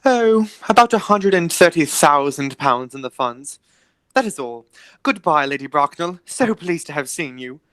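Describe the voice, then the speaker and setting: An actor playing a formal, dryly polite Victorian gentleman guardian in a radio comedy, speaking with measured emphasis. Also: mid-range voice, lively intonation, no echo, lightly acted. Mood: casually smug. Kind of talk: stage comedy as amateur radio play.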